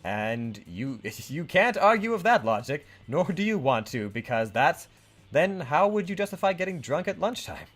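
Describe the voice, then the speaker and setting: A young man chats his way through a game with friends, his voice bouncing lively up and down. He rambles and sounds unsure of himself, and he reads the on-screen story text aloud. Faint music plays underneath. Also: a little high, moderate pace. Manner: rambling, unsure, laughs a lot. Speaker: let's play host. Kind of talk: casual game commentary and reading game text aloud.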